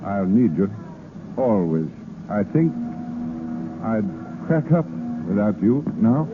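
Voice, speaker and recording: Ardent executive in his forties, vintage radio drama, animated pitch, narrow noisy sound. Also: takes it slowly. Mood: yearning. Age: 40s. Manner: earnest, ardent. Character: lovestruck, commanding 46-year-old male shipping boss. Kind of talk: 1930s radio drama acting. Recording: tinny, noisy old radio broadcast.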